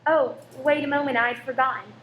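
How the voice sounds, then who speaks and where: A woman's voice: high voice, brisk, fairly bright tone. A woman playing a loyal, spirited cinema owner's wife with ready retorts in a radio play. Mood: warm. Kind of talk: amateur radio play acting. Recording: roomy echo with faint noise.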